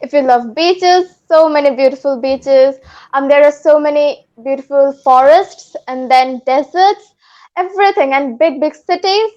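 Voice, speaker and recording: A woman's voice: high-pitched, unhurried, warm tone, dull audio. A woman from India, practicing in an eager, casual conversation. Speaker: English learner.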